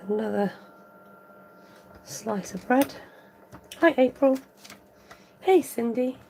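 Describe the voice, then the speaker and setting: A woman hosting a live card-making tutorial, thinking aloud as she works, chatting with viewers and reading out their comments. Her voice is a little croaky. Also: a little high, lively intonation, slow, a little mellow, a little hiss or hum. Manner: rambling, thinks aloud while working. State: slightly croaky voice. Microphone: overhead camera above a craft table, voice from off-frame.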